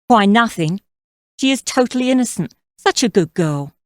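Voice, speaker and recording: A woman's voice: fairly high pitch, animated, normal speed, darkish tone, no room sound, clean studio sound, lightly acted. A woman playing a flirtatious, scheming mother-in-law in her thirties in an audio drama, coy and self-assured. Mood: persuasive, assured. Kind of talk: audio drama character acting.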